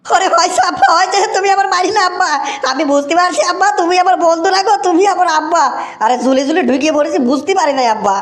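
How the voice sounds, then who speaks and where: A man's voice: very high voice, quick pace, fairly bright tone. A man voicing a boisterous, drunken young village man in a comic cartoon, with a Bogura accent from northern Bangladesh and sing-song slangy delivery. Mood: anxious, pleading. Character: rowdy drunken young village man, fearful of his father. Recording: roomy echo, clearly noisy track.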